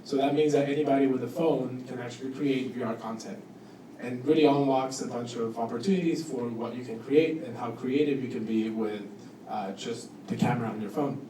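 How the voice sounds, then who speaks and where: A man's voice: medium pitch, a little fast, dark tone. A man from Cuba and Costa Rica gives an informal, somewhat hesitant introduction as a guest speaker at a panel talk. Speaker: guest speaker, product designer. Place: indoor event room with audience. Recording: echoey, noisy room, distant microphone.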